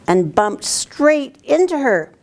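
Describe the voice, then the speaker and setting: An older woman reads a picture book aloud as a guest storyteller, in an expressive, deliberate way. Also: sits high. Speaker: town councillor reading to students. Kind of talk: read-aloud storybook narration. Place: indoors, library corner with bookshelves.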